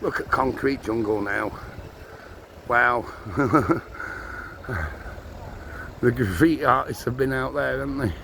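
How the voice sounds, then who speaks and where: A man's voice: medium pitch, slow, creaky, pauses often, bright, forceful tone. Older man, chatty street walk. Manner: chatty, rambling, self-mocking. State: squeaky, strained voice. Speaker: walking vlogger. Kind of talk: casual walking tour commentary. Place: outdoors on busy town streets. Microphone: handheld camera on a gimbal. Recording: outdoor street noise.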